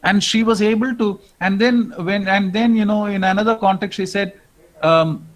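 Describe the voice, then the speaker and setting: A middle-aged man with an Indian English accent, giving a reflective lecture as a professor and pausing often on "um" and "uh". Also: high voice, steady tone, poor audio. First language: not English. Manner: reflective, with frequent "um" and "uh" pauses.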